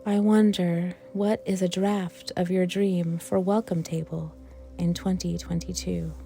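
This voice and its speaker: A woman leads a guided meditation in a fairly low, mellow voice with a noticeable creak. Her delivery is gentle and reflective, with space left between phrases, as a worship leader would give it. Soft music plays faintly behind her. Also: level tone, measured pace.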